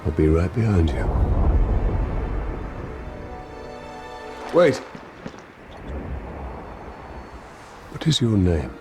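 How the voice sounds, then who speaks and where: A weary warrior ranger in a fantasy game. He speaks low, in a terse and grave way, determined, with a score playing behind him. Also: big pitch swings, brisk. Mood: urgent, terse. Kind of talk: cinematic fantasy game acting. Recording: orchestral music behind the voice.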